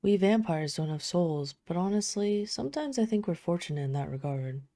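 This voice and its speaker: A woman playing a poised, flirtatious vampire, speaking softly and teasingly in an intimate audio roleplay. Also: lowish voice, expressive, moderate pace, dry recording. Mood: wistful, hushed. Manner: soft-spoken, teasing, smooth formal phrasing. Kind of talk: intimate scripted audio roleplay addressed to the listener.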